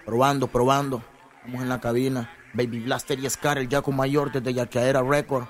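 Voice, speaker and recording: Man giving shout-outs from a booth. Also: mid-range voice, level tone, moderate pace. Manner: loose shout-outs, laughing asides. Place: recording booth.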